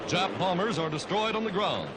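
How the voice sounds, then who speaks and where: A man's voice: high-pitched, sing-song, moderate pace, heavy background noise. An American man narrating a wartime 1940s newsreel from a script, in a dramatic, emphatic, declamatory manner. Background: loud music.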